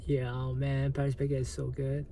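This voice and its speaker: A man with a Korean accent, a native Korean speaker, hosting a livestream and answering viewer comments in a halting, hesitant way with lots of fillers. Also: mid-range voice, completely flat delivery, warm tone. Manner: slow, halting, many fillers and restarts. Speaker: livestream host.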